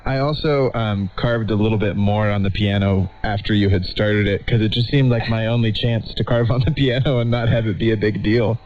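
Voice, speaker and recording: A man's voice: on the low side, fairly even intonation, fairly quick, hardly any pauses. A man in his thirties chatting casually on a call. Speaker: sibling in conversation. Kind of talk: casual chat. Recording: dull lo-fi call sound.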